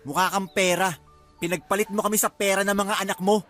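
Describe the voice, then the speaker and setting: A man's voice: high-pitched, flat delivery, quick pace, a bit bright, soft background music. A man playing an evasive, self-justifying husband in a radio drama, defensive and pleading. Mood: accusing.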